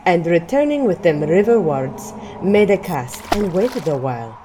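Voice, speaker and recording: A woman's voice: lowish voice, big pitch swings, measured pace, noisy background. A woman narrating an audio drama in a scripted, archaic storybook style.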